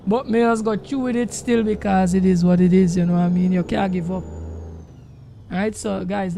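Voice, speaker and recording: A Jamaican man streams casually over some engine noise. His voice sits high for a man yet is full of gravel, and he talks straight to viewers, repeating himself for emphasis. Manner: emphatic, repetitive, addresses viewers directly. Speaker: game livestreamer. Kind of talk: casual livestream chat while driving a truck sim. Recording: noisy, engine rumble behind voice. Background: truck engine sounds from the game.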